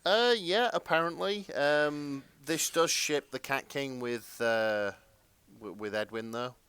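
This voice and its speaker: A man's voice: mid-range voice, takes it slowly, a bit bright, smooth. A man co-hosting a podcast in casual chat, feeling his way through sentences with hesitant phrasing. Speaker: podcast co-host.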